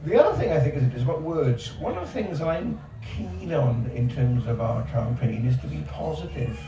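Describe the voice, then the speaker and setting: A man's voice: on the high side, sing-song, moderate pace, lo-fi sound, over music. An older man on a discussion panel, speaking emphatically and repeating words for stress. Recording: echoey outdoor space from far off, background noise.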